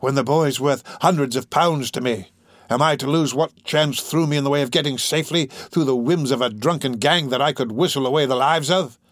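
A man voicing a crafty old criminal in an audiobook, with a croaking, drawn-out, oily delivery. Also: medium pitch, lively intonation, dry recording, clean studio sound, full character voice. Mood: furious. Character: crafty old male criminal, wheedling, then furious.

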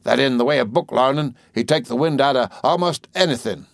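A man's voice: medium pitch, fairly quick, gravelly, no room sound, clean studio sound, pitched above the performer's own voice, lightly acted. Man acting a hearty rustic old fisherman. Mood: admiring. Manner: exclamatory, admiring, folksy. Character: hearty, fond, rustic older English fisherman.

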